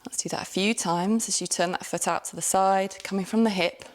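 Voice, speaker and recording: A woman yoga teacher calmly cueing a class step by step, with light laughs and the odd self-correction. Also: mid-range voice, brisk. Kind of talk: guided yoga class instruction.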